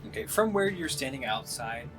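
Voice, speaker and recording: Low-voiced man running a tabletop game. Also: big pitch swings, normal speed. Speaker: game master. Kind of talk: narrating a live tabletop roleplaying game. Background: background music.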